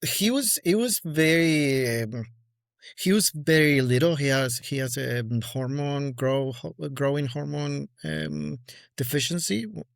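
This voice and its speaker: Argentine man, gravelly, halting. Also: on the high side, no echo, studio quality. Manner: halting, many fillers and restarts. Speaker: guest collector. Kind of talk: casual interview conversation.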